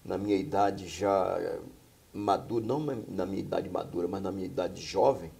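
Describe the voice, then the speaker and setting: A man's voice: low voice, steady tone, normal speed, gravelly, mellow. A middle-aged man from Bahia, a pastor and singer, telling his life story as a guest in a small studio. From Bahia, Brazil. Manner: earnest storytelling with frequent tag questions.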